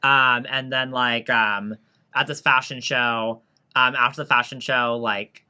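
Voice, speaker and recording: Bright-voiced young man rambling casually. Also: medium pitch, fairly even intonation, measured pace, clean tone. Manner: rambling, many fillers and restarts while retelling. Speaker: podcast co-host. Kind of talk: casual chat.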